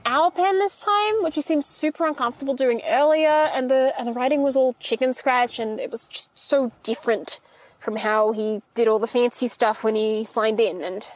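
A woman's voice: a little high, moderate pace, no room sound, lightly acted, rough recording. A woman playing an anxious, over-polite young grocery store employee in an audio drama, rambling hesitantly and stammering as she corrects herself. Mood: uneasy, rambling. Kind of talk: naturalistic audio fiction monologue, like a voicemail.

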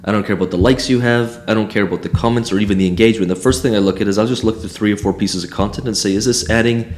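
A man co-hosting a podcast about online coaching, hoarse and losing his voice, talking casually and candidly. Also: lowish voice, a little mellow. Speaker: podcast co-host.

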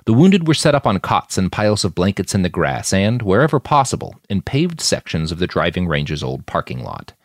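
Male audiobook narrator: deep register, lively inflection, scripted storytelling, dry sound. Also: a little fast, hardly any pauses, no room sound. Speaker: author narrator.

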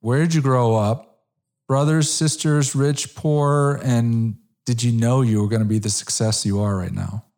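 A man's voice: mid-range voice, steady tone, unhurried, a little mellow. A man in his fifties hosting an interview, talking conversationally with restarts and frequent "you know" and "right?". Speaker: interview host. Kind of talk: casual interview.

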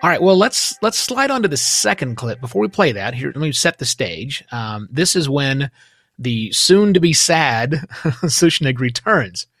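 A man's voice: medium pitch, very animated, unhurried, fairly bright tone, soft background music. A man hosting a podcast interview in a casual, conversational and enthusiastic way. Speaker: podcast host interviewing a guest.

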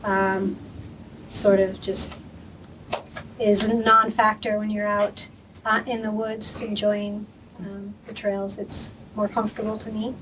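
A woman's voice: medium pitch, level tone, takes it slowly. Reflective woman, distant and noisy. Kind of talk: casual personal storytelling.

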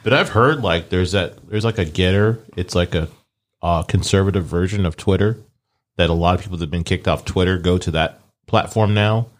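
Laid-back male co-host with a low voice. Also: a little fast. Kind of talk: casual podcast chat.

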